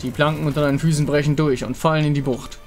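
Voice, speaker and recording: A man reading scripted story narration for a fantasy game in a measured, dramatic way. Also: a little high, fairly even intonation.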